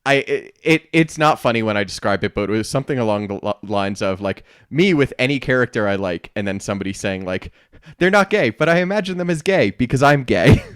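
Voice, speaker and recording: A man's voice: medium pitch, lively intonation, brisk, smooth, no room sound, clean studio sound. A man co-hosting a podcast in casual chat, talking in a rambling, conversational way. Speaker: podcast co-host.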